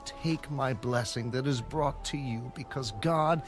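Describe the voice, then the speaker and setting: An actor playing a humble, pleading man in a dramatized scripture recording, deferential and measured. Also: mid-range voice, lightly acted. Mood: earnest pleading. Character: humble, pleading man appeasing his brother. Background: music.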